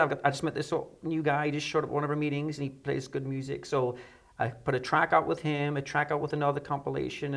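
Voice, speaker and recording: Fast-talking, higher-pitched middle-aged man. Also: vocal fry. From United Kingdom. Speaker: interview guest, electronic musician. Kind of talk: conversational interview, anecdotes. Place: dark indoor studio set, seated.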